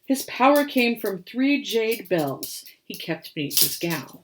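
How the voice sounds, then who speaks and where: Lady reading a kids picture book all dramatic, high voice, super up and down. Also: slow, background noise. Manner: theatrical, expressive. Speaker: storyteller. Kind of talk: dramatic children's storybook reading.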